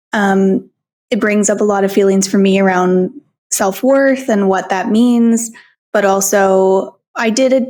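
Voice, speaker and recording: A woman speaking as a podcast guest, open and thoughtful, with frequent ums. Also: mid-range voice. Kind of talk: casual interview conversation.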